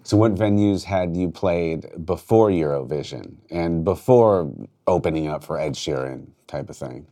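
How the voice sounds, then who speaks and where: Deep-voiced male radio host. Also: unhurried. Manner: relaxed, easygoing questions with filler words. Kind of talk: casual radio interview. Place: radio studio.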